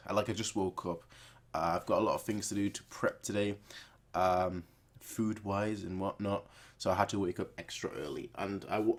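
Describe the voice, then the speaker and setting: A young man hosting a reaction video, chatting casually to viewers in a rambling, playful way. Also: on the low side. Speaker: host of a reaction video channel.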